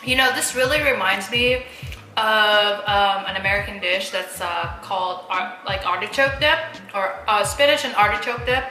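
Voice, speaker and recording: Bright-voiced chatty young woman vlogger. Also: mid-range voice, moderate pace, echoey room, soft background music, poor audio. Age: young adult. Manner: chatty, laughs often, many fillers. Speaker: mukbang vlogger. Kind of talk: casual chat while eating.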